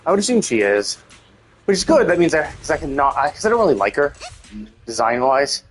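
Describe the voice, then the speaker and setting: A man's voice: a little high, sing-song, a little fast, soft background music. A man giving casual, opinionated live game commentary, rambling and repeating himself with some casual swearing. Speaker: live streamer playing a story game.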